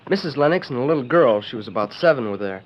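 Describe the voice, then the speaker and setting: A man's voice: medium pitch, expressive, fast talker, lightly acted. An actor playing an earnest, eager boy sidekick in a 1940s radio western, with a youthful manner. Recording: lo-fi noisy 1940s radio recording.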